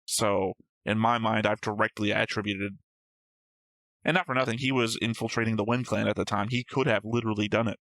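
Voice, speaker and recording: A man's voice: on the low side, fairly even intonation, a little fast, a bit bright, dry recording. A man co-hosting a reaction show, reasoning aloud in a casual, argumentative discussion of story theories. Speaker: co-host of an anime reaction show.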